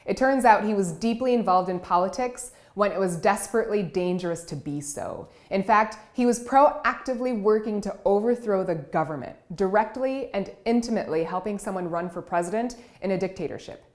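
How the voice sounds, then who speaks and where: A woman raised in Cameroon and Iowa tells her own story from the stage. Her delivery is vivid and expressive. Her voice sits at an ordinary middle pitch. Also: brisk, few pauses. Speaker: keynote speaker and writer. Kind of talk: personal storytelling speech.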